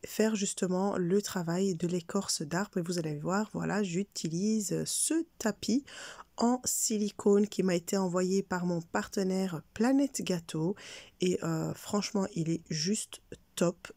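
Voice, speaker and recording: A woman's voice: on the low side, measured pace, warm tone, no echo. A woman with a cold, her voice congested, hosting a cake decorating tutorial in a friendly, hesitant way with many fillers. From Belgium. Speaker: cake decorating channel host. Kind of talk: casual cooking tutorial voice-over.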